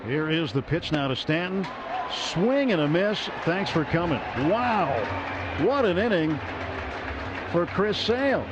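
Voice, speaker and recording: A male radio play-by-play announcer calls a baseball game in a brisk, steady rhythm, his voice climbing with excitement on strikeouts. His voice sits a little high. The broadcast sound is somewhat muffled, with loud ballpark noise behind him. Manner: brisk, rising excitement on big plays.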